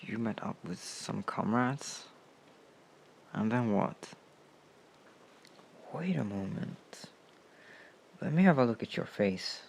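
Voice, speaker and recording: A man acting a caring, protective male comrade in a comfort roleplay, speaking softly and soothingly with unhurried pauses. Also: lowish voice, lively intonation, vocal fry, a little hiss or hum, full character voice. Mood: alarmed, insistent. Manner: soft-spoken, hushed, soothing, with unhurried pauses. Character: caring male anarchist comrade, protective, gently scolding, tending a friend.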